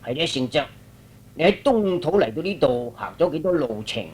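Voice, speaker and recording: A man's voice: fairly high pitch, lively intonation, moderate pace, a little mellow, breathy edge, pitched below the performer's own voice. A man playing a courteous but timid, fussy king in a Cantonese radio drama, speaking formally. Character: courteous but easily frightened middle-aged king.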